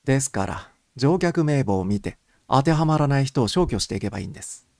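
A man voicing a polite, methodical gentleman who explains things in orderly fashion as an amateur detective in an audiobook. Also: mid-range voice, expressive, fairly quick, gravelly, no echo, studio quality. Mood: reasoning, confident.

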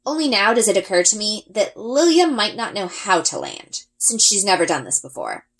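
A woman reads a storybook aloud to children. Her pitch sits in a middle range and moves around a lot, giving the narration an expressive, animated feel. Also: normal speed. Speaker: read-aloud reader, elementary school.

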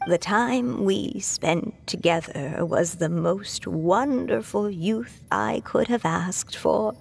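An actress playing a fond, aging woman storyteller in a video game, reading a farewell letter in a gentle, reflective way. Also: lowish voice, creaky, exaggerated voice. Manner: slow, reflective, tender.

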